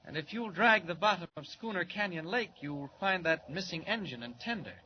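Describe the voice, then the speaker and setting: An actor playing a polite, eager young reporter in a 1940s radio drama, deferential in manner. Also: male voice, high-pitched, expressive, moderate pace. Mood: polite, knowing. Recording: muffled noisy old radio recording.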